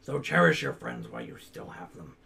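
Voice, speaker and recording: A man voicing a gruff, kindly, advice-giving dad in a game let's play, with a gravelly voice. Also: mid-range voice, huge pitch swings, a little fast, pushed and bright, slight background noise, heavily acted. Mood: consoling.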